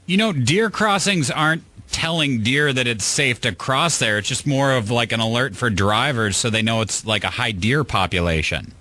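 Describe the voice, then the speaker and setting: Man, radio co-host, crisp bright tone, explanatory, casual call-in talk, dry studio sound. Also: medium pitch, moderate pace, few pauses, dry recording. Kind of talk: live call-in radio chat.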